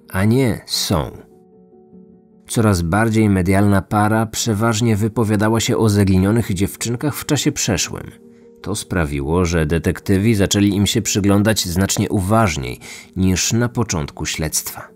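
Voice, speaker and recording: A man's voice: fairly low pitch, unhurried, darkish tone. A man reading a scripted true crime story as the narrator and host. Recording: music under the voice. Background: background music.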